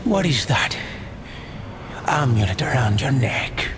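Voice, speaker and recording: An actor playing an imperious, obsessed fallen king in a video game, haughty and wrathful with a creaky voice. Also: mid-range voice, very animated, slow pace, noisy background. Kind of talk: dramatic video game cutscene acting.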